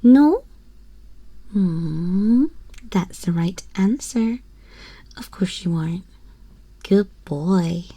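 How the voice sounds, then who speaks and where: A woman's voice: medium pitch, expressive, warm tone, exaggerated voice. A woman playing a haughty, condescending young empress in a scripted ASMR roleplay, teasing and imperious, speaking intimately into a close microphone. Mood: teasing, smug. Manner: imperious, slow, theatrical, teasing drawl with breathy whispers. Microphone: close binaural microphone.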